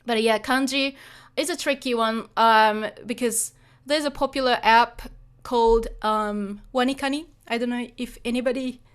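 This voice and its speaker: A woman's voice: fairly high pitch, fairly even intonation, normal speed, smooth. A Japanese woman teaching Japanese in English with a Japanese accent, answering a live chat, hesitant and apologetic with lots of fillers.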